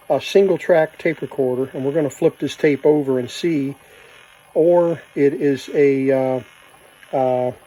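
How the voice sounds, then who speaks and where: A man's voice: medium pitch, mellow, poor audio. A man giving a casual, unscripted hands-on demonstration, thinking aloud as he works as a hobbyist presenter. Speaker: vintage tape recorder hobbyist, presenter.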